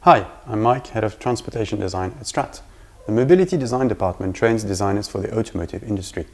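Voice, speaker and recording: A man in his forties with a low voice and a gravelly creak. He delivers a scripted presentation to camera as a design department head, recorded indoors on a lapel mic. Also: expressive, fast talker, a little mellow. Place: indoor room with bookshelves.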